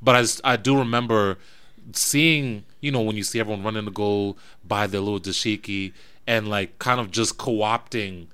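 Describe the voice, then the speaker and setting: Podcast host, young man of West African family from New Jersey, slightly low, bright and forceful voice, thoughtful, halting. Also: clean tone. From West African family, raised in New Jersey. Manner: reflective, thinks aloud with restarts. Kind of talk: casual conversation.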